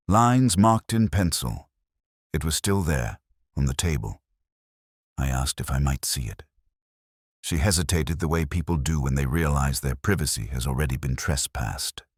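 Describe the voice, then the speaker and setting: A man narrating a first-person detective mystery in a brooding, measured, literary manner. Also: a bass voice, no room sound, studio quality.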